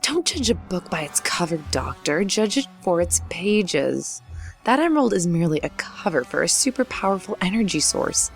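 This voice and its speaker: A woman playing a stern, formal army general with a measured, authoritative manner in an amateur audio drama. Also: lowish voice, very animated, faint music behind. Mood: stern, businesslike. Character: stern female army general at a secret base.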